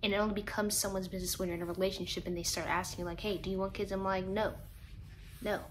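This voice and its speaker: A woman's voice: mid-range voice, little pitch movement, fast talker, slight background noise. Chatty young woman rambling to camera. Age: 20s. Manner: chatty, rambling, laughs at herself. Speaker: vlogger. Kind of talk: casual chat to camera.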